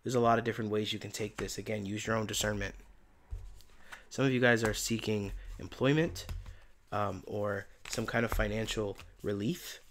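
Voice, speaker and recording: Low-voiced man giving a gentle tarot reading. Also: steady tone, normal speed, slight background noise. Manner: soft, meandering and reflective, with many fillers. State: voice giving out, tired by day's end. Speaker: tarot reader and channeler. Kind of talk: casual spiritual tarot reading.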